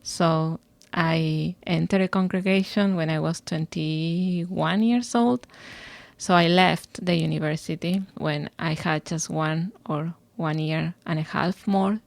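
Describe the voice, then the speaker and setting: A woman in her forties with an Argentine Spanish accent answers interview questions thoughtfully, dropping "eh" between her thoughts. Her voice sits a touch low. The recording is close and dry. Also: steady tone, unhurried, creaky, no echo. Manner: thoughtful, with "eh" fillers and restarts. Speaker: guest scientist.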